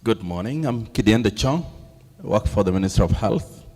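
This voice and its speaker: A man from South Sudan, a senior health official, giving a steady, formal self-introduction at a meeting. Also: on the low side, a little fast, big-room sound. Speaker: health ministry director general.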